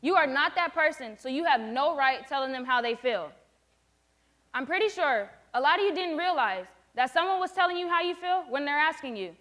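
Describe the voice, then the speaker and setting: Firm, high-voiced teenage girl presenter. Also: lively intonation, fairly quick, a bit bright. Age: late teens. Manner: firm, explanatory, lecturing tone. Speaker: student presenter playing a group facilitator. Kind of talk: student presentation with a scripted role-play.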